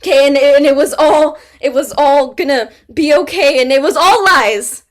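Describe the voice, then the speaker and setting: Brief: high-voiced actress playing a grieving young mother-to-be, raw and halting. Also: natural voice. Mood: desperate. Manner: halting, trailing into quiet, near-whispered confessions. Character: grieving young pregnant woman, frightened and raw. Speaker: actor in a staged play reading. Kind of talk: staged play reading.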